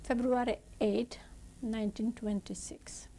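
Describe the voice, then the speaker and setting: A woman in her seventies from Poland with a Polish accent, giving halting, slow oral history testimony in non-native English. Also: fairly high pitch, fairly even intonation, dark tone, a little hiss or hum, rough recording. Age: 70s. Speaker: interviewee.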